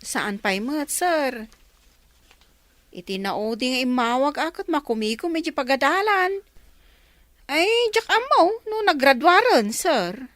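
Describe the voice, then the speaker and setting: A woman's voice: high voice, animated, unhurried, a bit bright, no echo. A woman acting a feisty, defiant employee in an Ilocano radio drama, speaking with an Ilocano accent. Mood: respectful.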